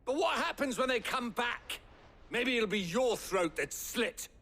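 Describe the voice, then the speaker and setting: An angry vengeful villager from a fantasy game, high sharp voice, combative. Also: male voice, animated, a little slow, long reverb tail, full character voice. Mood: furious. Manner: combative, forceful. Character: vengeful, hot-headed male townsman. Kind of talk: heated game cutscene acting.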